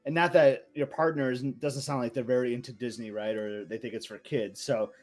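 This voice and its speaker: A male podcast co-host talks in a chatty, enthusiastic way, piling on stories and asides. His voice sits at an ordinary middle pitch for a man. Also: fairly even intonation, brisk, faint music behind. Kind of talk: casual chat.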